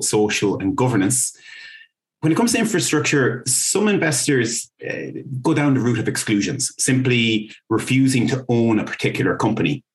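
A man with an Irish accent hosting a finance podcast, asking questions in an easygoing, conversational way. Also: lowish voice. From Ireland. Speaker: podcast host. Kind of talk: conversational interview.

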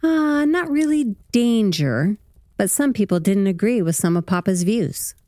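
Woman playing loving wife and mother, patient, gently chiding, medium pitch, radio drama, dry close recording. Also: expressive, a little slow, no echo, natural voice. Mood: gently reassuring. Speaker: actor in an audio drama.

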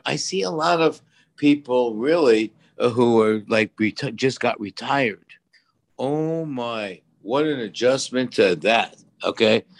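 A man in his seventies talks casually as an expert co-host, with a low, gravelly voice. He rambles along in a folksy, conversational way, offering advice. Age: 70s. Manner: rambling, folksy, repeats "you know".

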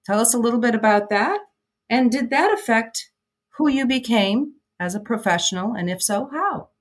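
A woman hosting an interview podcast, asking her guest clear, measured questions. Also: on the high side, lively intonation. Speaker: podcast host.

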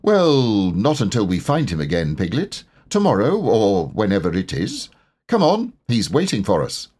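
A man voicing a bossy, self-important, impatient male rabbit in a storybook, briskly ordering others along. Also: lowish voice, wildly swooping pitch, darkish tone, husky, heavily acted.

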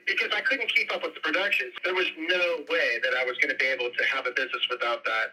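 Guy in his 40s, raspy voice, lots of "you know", kinda tinny. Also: mid-range voice, steady tone, fast, pushed and bright. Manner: casual, hesitant, lots of fillers. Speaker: interviewee, business owner. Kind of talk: recorded interview clips.

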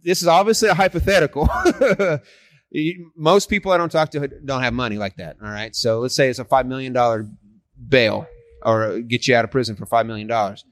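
A man's voice: medium pitch, a little fast, no room sound. Man in his 40s, street evangelist, earnest and persuasive, dry close sound. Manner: earnest and persuasive, frequent restarts. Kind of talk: casual street conversation.

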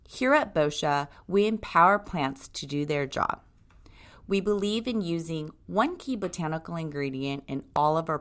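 A woman's voice: lowish voice, fairly bright tone. A woman in her thirties from Japan, hosting a podcast and reading a scripted monologue in an upbeat, enthusiastic way. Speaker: podcast host, brand co-creator.

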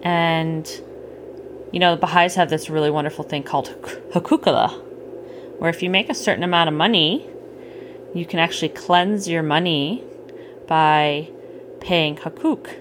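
A middle-aged woman chatting casually with a child at bedtime, gently explaining things and checking in with questions. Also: deep voice, moderate pace, long pauses. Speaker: parent hosting a bedtime story podcast. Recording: clearly noisy home recording.

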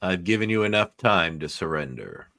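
An actor playing an imperious, contemptuous mutant supervillain in a live radio theatre reading. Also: male voice, sits low, animated, moderate pace, gravelly, bright, forceful tone, no room sound, exaggerated voice. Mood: cold menace.

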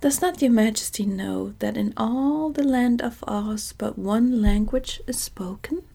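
A woman softly whispering the lines of a mischievous young maid in a storybook reading. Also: fairly high pitch, measured pace, creaky.

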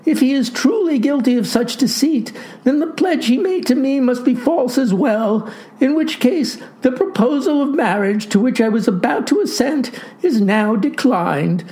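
A woman's voice: high voice, animated, moderate pace, dark tone, voice pushed up. A woman voicing an affronted, haughty girl of eighteen in florid, melodramatic declarations for a comic story. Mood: affronted, rejecting.

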